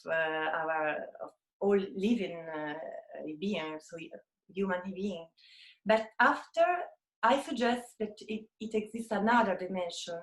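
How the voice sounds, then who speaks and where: A woman from Italy with an Italian accent, a photographer talking in an interview and pausing often to find her words. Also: lowish voice, reverberant, low-quality recording. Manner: hesitant, searching for words with many fillers. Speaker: photographer, guest.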